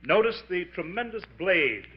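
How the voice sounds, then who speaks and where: An actor playing a theatrical, slyly sinister professor in a formal, florid manner, in 1950s radio mystery style. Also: male voice, high voice, big pitch swings, moderate pace. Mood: grandly ominous. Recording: muffled, hissy 1950s radio recording.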